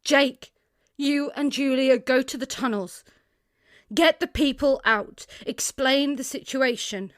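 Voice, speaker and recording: A resolute young woman leading survivors in an audio drama, played by an actress. Her voice is high and slow, and the sound is dry. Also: no room sound. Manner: resolute, measured.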